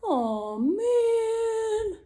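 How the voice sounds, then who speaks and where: A woman's voice: extremely high pitch, expressive, slow, pushed and bright, pitched above the performer's own voice, exaggerated voice. A woman voicing a big, grumbling, easily hurt bear in a children's story, blustering and whimpering. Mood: disappointed.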